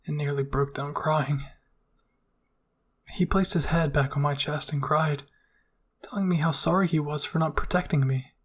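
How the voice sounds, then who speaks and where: A man's voice: a little high, slightly rough. A man narrating a first-person fan fiction story as an ASMR roleplay.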